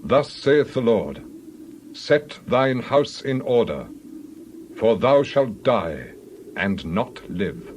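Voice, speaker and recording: An actor playing a stern Hebrew prophet in a dramatized scripture reading, solemnly proclaiming divine judgment in measured, emphatic phrases. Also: male voice, medium pitch, very animated, darkish tone, slight background noise. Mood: grave.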